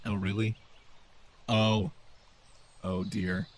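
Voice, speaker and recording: A man's voice: fairly low pitch, little pitch movement, extremely slow. A man voicing a wry, formal male AI companion in a sci-fi audiobook, with a dry and polite manner. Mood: surprised.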